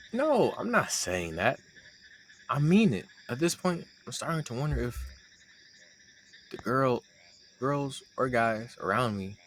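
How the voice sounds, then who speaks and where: A man playing a shy, teasing young man secretly in love with his friend, in an intimate audio roleplay with a halting delivery. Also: mid-range voice, slight background noise. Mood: teasing, earnest.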